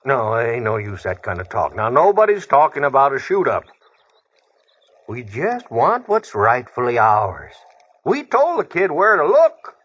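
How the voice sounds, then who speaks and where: A husky frontier schemer who leads a gang of claim jumpers. His rustic talk swings widely in pitch as he blusters and wheedles, in the manner of an old radio western on a dull, lo-fi recording. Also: wildly swooping pitch, measured pace, fairly bright tone, exaggerated voice. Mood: blustering, pushy.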